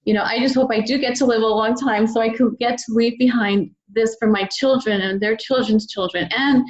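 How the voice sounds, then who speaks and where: A woman's voice: on the high side, steady tone, brisk, lo-fi sound. A middle-aged woman talking enthusiastically and at length as a guest in a conversational interview, an author discussing work. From United States. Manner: enthusiastic, talkative. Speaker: podcast guest, children's book author.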